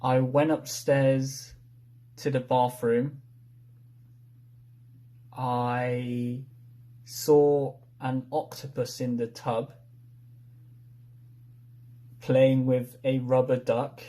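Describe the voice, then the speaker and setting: Very slow, mellow-voiced man recalling a story. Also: mid-range voice, little pitch movement. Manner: halting, unsure, piecing sentences together slowly. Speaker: participant in a story-retelling game. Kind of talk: retelling a story from memory.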